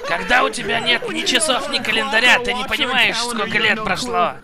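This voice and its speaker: A man's voice: high voice, brisk, a crowd in the background. A man dubbing a gamer who leads the group, bossy and teasing. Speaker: voice-over dubber of a gaming group. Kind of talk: comic gaming chat dub.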